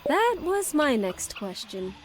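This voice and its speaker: A woman voicing a composed, learned priestess in a fantasy video game, speaking in a measured, formal and assertive way. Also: high-pitched, very animated, faint music behind, heavily acted. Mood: firm. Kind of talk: English video game cutscene acting.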